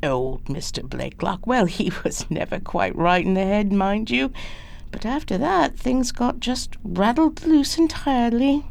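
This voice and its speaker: A woman's voice: fairly high pitch, lively intonation, a little slow, creaky, a little mellow. A woman playing a chatty, motherly, gossipy housekeeper with a folksy manner in an audio drama.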